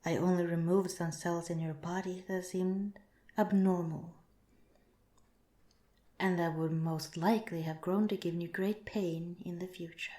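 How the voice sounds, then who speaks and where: A woman voicing a calm, clinical alien researcher in an ASMR roleplay, speaking softly with measured, formal politeness. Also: fairly low pitch, close to an everyday voice. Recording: roomy, echoing sound.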